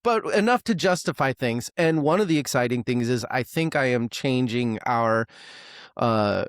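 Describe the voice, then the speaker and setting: A man's voice: mid-range voice, moderate pace, smooth, dry recording, studio quality. A man co-hosting a music podcast, chatting casually with sarcastic jokes and swearing. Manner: joking, profane, sarcastic. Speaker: podcast co-host.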